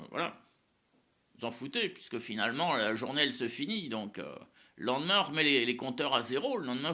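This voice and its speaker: A man's voice: mid-range voice, pushed and bright. A man whose first language is Spanish, giving a casual, rambling religious monologue to camera, often repeating words and tagging his sentences with small fillers. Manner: rambling, repeats words, frequent "hein" and "euh".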